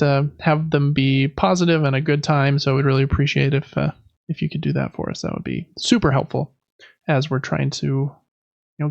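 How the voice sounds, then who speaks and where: A man with a slightly higher-pitched voice and a creaky edge chats casually as a podcast host. He rambles and backtracks mid-sentence. The sound is dry and somewhat dull. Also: darkish tone, no echo. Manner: rambling, self-correcting. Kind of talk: casual chat about video and board games.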